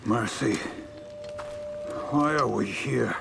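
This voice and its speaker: Solemn old-soldier type, very slow, heavily creaking voice, weary, video game drama, music behind. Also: male voice, medium pitch. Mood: grave, weary. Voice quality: gravelly. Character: weary, solemn warrior bound by an oath of peace. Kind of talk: video game cutscene acting.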